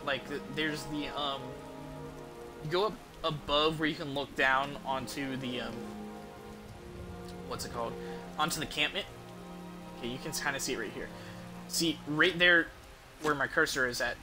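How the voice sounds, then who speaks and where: A man's voice: on the high side, fairly even intonation, moderate pace, long pauses, music in the background. A man giving casual, rambling gameplay commentary as a game streamer, with some swearing.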